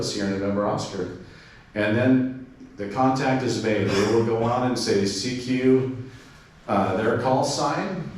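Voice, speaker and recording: A man's voice: lowish voice, a little mellow. A man giving an informal club presentation, explaining steps and spelling call signs in radio phonetics, with frequent fillers. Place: club meeting room. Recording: very echoey room, distant mic, faint noise.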